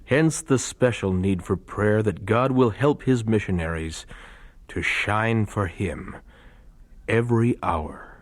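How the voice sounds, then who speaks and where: An actor playing a thoughtful, devout young missionary in a radio drama, speaking earnestly and reflectively. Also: male voice, lowish voice, slow, no echo. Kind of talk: radio drama acting, letter monologue.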